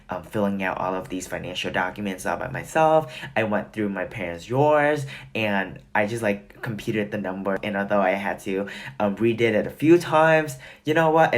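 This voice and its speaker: A young man from Chicago, a student, telling his story loosely and casually. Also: fairly low pitch. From Chicago, USA. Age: young adult. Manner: casual, loose, says "y'all". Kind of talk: casual personal account.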